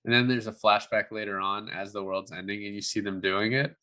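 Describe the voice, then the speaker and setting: A man's voice: on the low side, fairly even intonation, a little fast, sharp tone. A man co-hosting a podcast in a casual film review chat, hedging as he works through his thoughts. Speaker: podcast co-host.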